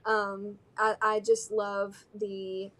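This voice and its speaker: A woman's voice: mid-range voice, monotone, very slow, fairly bright tone. A young woman from Alabama with a Southern American accent, chatting as a guest singer-songwriter in a casual interview, bubbly and giggly with lots of fillers. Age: young adult.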